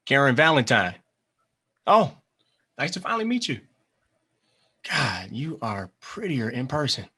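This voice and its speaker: A man's voice: mid-range voice, sing-song, unhurried, pushed and bright, husky, full character voice. An actor at a table read playing a nervous, rattled young man who was duped by his date, speaking earnestly. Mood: nervous, eager. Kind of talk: screenplay table read acting.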